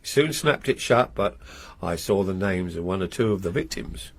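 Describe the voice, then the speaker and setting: An actor playing a genial, slightly self-important middle-aged husband in a radio drama. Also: male voice, low voice, expressive, moderate pace, creaky, heavily acted. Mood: chatty. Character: middle-aged husband, homely and fond of gossip.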